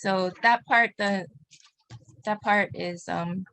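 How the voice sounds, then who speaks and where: Halting woman panelist. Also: mid-range voice, steady tone, a bit bright, no echo. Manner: halting, restarts often. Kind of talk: online panel talk.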